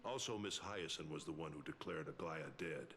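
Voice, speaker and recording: Deep, solemn male lord. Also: background noise. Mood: grave, commanding. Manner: grave, measured, formal. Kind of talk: dramatic video game acting. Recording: loud game music underneath.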